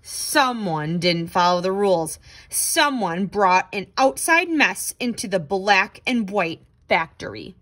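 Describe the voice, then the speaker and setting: A woman reading a children's picture book aloud in an expressive, dramatic, exclaiming way. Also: medium pitch, slow. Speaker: storybook reader.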